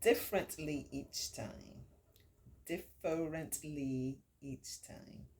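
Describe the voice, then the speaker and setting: A woman reading a picture book aloud to children, with encouraging, simply explained asides and expressive, drawn-out emphasis. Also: deep voice, slight background noise. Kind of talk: read-aloud story time with chatty asides to children.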